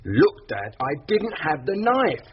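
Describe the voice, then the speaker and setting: A defiant teenage boy from the streets. His voice sits highish and jumps about in blunt, sulky slang. It is a radio drama with muffled sound and faint noise. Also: very animated, slow pace. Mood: indignant. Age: teenager as played. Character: streetwise sixteen-year-old male defendant, sullen and defiant.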